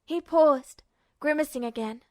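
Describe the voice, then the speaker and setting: A woman reading fiction aloud as an expressive audiobook narrator. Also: sits high, unhurried, no room sound, studio quality.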